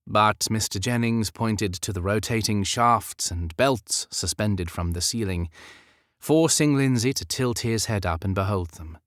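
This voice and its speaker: A man reading a historical romance audiobook in a measured, expressive storytelling manner. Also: lowish voice, dry recording, clean studio sound. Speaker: audiobook narrator. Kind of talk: scripted literary narration of a historical romance.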